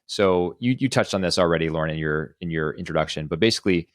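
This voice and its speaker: A man's voice: deep voice, fast talker, no room sound, studio quality. A man co-hosting a podcast discussion, speaking in an articulate, analytical way. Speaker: podcast co-host.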